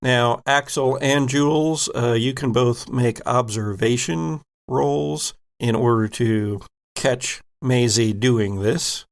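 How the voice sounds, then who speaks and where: A game master with a gravelly, fry-edged voice runs a tabletop session. He calls for rolls and tells players what their characters notice in a steady, matter-of-fact manner. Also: medium pitch, takes it slowly. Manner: steady, directive.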